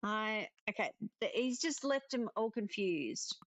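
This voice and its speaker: A woman's voice: mid-range voice, animated, unhurried, a bit bright. A woman reading visual novel dialogue aloud expressively, with casual commentary of her own. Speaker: let's-player narrator.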